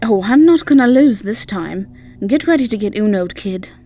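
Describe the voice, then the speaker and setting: A woman's voice: medium pitch, animated, normal speed, mellow, slight background noise, natural voice. A woman voicing a playful, cocky, teasing woman with a brash, mischievous manner in a fantasy audio roleplay. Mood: cocky, defiant.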